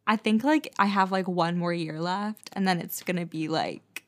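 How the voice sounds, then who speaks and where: A woman's voice: lowish voice, moderate pace, a bit bright. A young woman from Wisconsin with a slight Wisconsin accent, co-hosting a podcast in casual chat and filling her speech with "like". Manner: chatty, filler-heavy, many likes. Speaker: podcast co-host.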